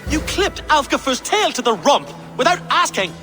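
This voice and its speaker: Aggrieved, high-pitched Viking-age villager. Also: male voice, big pitch swings, moderate pace, music in the background. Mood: outraged. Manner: indignant, formal, plaintive complaining. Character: aggrieved, exasperated male neighbor and horse owner in Viking times. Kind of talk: video game drama acting.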